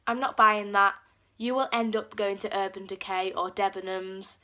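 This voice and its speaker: Bright-voiced teen girl, chatty. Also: mid-range voice, fairly even intonation, normal speed. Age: teens. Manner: chatty, lots of "like" fillers, acts out quotes. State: has a cold. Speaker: vlogger. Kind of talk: casual talk to camera.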